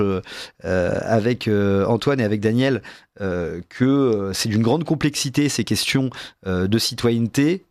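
A man hosting a discussion, measured and halting as he searches for his words. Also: on the low side, no echo, clean studio sound. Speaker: discussion host. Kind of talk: moderating a panel discussion.

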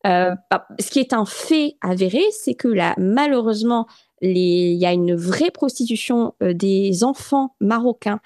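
A woman from France, a guest on a radio interview, talking earnestly and stumbling through frequent restarts and filler sounds. Her pitch sits at an ordinary middle height. The studio sound is close and dry, with almost no room echo. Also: animated, unhurried, a little mellow.

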